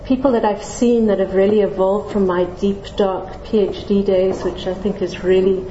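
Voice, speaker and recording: A middle-aged woman from Zambia speaks as a scientist to a general audience, her voice mellow and her delivery friendly, with light self-deprecating jokes and frequent ums. The sound is dull and echoey, as in a lecture hall, with faint room noise behind. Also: medium pitch, steady tone, moderate pace. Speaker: scientist giving a public lecture.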